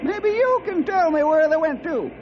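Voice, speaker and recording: An actor playing a cranky, folksy old ranch hand full of colorful frontier slang in an old-time radio adventure. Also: male voice, very high-pitched, expressive, moderate pace. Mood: teasing, wry. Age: older. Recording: muffled, noisy old radio recording.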